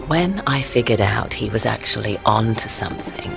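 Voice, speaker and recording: Sly, flirtatious woman thief, lively delivery. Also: rumbling low, moderate pace, gravelly, exaggerated voice. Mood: conspiratorial, coy. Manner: teasing, coy, wry. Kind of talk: cinematic action-adventure game acting. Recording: loud music behind the voice.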